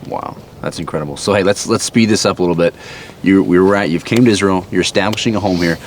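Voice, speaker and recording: A man's voice: sits low, quick pace, slight background noise. A man hosting a casual interview, eager and chatty with rambling questions. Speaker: host.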